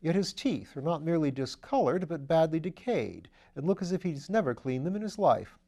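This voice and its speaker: Brief: man voicing a raised, warm-toned posh amateur sleuth, brisk and chatty, storybook reading. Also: fairly high pitch, expressive, voice pushed up. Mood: breezy. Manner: chatty, upper-class slang, brisk and eager. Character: breezy aristocratic English gentleman sleuth, man. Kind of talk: audiobook character voice.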